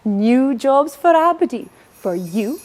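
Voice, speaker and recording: An excitable pantomime fairy godmother with a very high, swooping voice. She has a Doric accent from North-East Scotland and delivers rhymes slowly and theatrically, as if to children. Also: exaggerated voice. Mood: excited, scheming. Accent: North-East Scottish Doric. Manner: exaggerated, theatrical, addressing children. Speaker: pantomime performer. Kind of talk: rhyming pantomime monologue.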